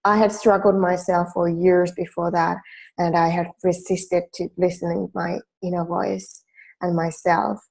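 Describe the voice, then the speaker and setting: A woman in her forties speaks English with a Finnish accent, leading a self-help training. Her voice is low, mellow and gravelly. She is earnest and keeps restarting her sentences with small fillers. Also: level tone, a little slow, low-quality recording. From Finland. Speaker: life coach.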